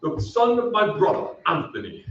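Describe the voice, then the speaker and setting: A man's voice: high-pitched, wildly swooping pitch, moderate pace, a bit bright, heavily acted. A man playing a weary, courtly old English ghost knight in an old-time radio play, with archaic, theatrical, solemn delivery. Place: theatre stage. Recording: echoey stage, distant mic, dull, hissy.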